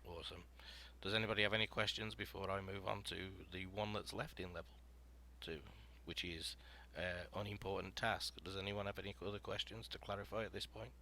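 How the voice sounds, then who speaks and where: A man hosting a writing podcast panel, explaining prompts and telling scenes out loud in a casual, rambling way. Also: low-pitched, fairly even intonation, fairly quick. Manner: explanatory, storytelling, filler-heavy.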